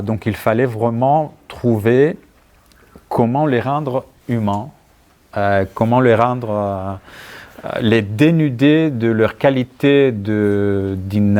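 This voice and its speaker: A man's voice: fairly low pitch, darkish tone. A man from Greece with a Greek accent, a writer answering questions conversationally and searching for words as he goes. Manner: halting, searching for words, thoughtful. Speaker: writer being interviewed. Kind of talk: conversational answers at a book talk.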